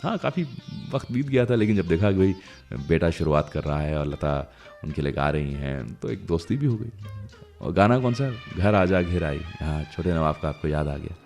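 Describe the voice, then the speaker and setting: A man hosting a radio music show, telling stories in a chatty, conversational way with dramatic pauses and emphasis. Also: low-pitched, lively intonation, a little mellow.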